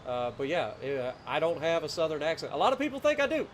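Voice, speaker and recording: A man from Ohio speaks with a light Appalachian twang, relaxed and chatty as he streams and answers viewers. His voice sits a little high for a man. A faint noise runs under the recording. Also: quick pace. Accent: Appalachian (Ohio foothills), mild. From Ohio, USA. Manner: laid-back, chatty, talks to chat viewers by name. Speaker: game streamer. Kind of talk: casual live-stream chat while playing.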